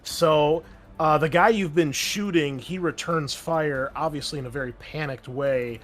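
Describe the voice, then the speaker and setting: A man running a tabletop game as its game master and host, easygoing and quick to laugh, often pausing with ums. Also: fairly high pitch, a bit bright.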